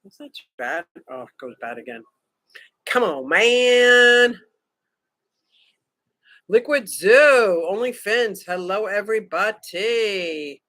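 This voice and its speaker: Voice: medium pitch, lively intonation, takes it slowly, long pauses, bright, forceful tone, dry recording. A livestream host chatting casually with viewers from a vacation room, joking around and greeting people by name. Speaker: livestream host reading chat. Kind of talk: casual live chat.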